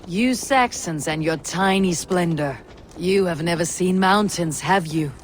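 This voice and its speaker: A woman's voice: on the low side, measured pace, a little hiss or hum, close to an everyday voice. A woman playing a dry Viking warrior. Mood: teasing. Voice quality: dry, teasing. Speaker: game character. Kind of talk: video game cutscene acting. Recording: game audio with clear music.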